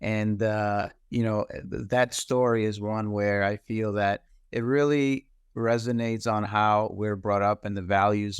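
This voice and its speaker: Earnest, smooth-voiced man from the Dominican Republic. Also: fairly low pitch, no echo, studio quality. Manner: measured, earnest, pauses with frequent "uh". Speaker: guest, cybersecurity executive. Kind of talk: reflective personal storytelling.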